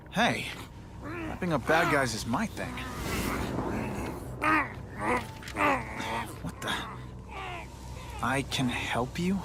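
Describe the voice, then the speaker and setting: An actor playing a cocky, quippy young American superhero in a video game, sarcastic and boyish. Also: male voice, mid-range voice, very noisy. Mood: wry. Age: young adult. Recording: loud game music behind.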